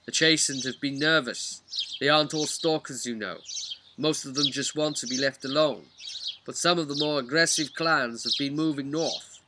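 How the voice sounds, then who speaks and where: A man's voice: a little high, normal speed, heavy background noise, pitched above the performer's own voice, close to an everyday voice. A man voicing a wary, plain-spoken trapper from the wilds in a tabletop fantasy game. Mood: uneasy.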